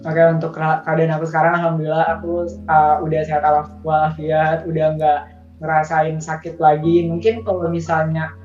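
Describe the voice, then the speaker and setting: A woman's voice: sits low, level tone, moderate pace, a little mellow, faint music behind. A woman sharing a personal story as an interview guest, speaking in an earnest, informal and encouraging way. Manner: earnest, informal, addressing viewers as friends. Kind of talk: casual, encouraging testimony. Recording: distant, echoey, slightly dull sound.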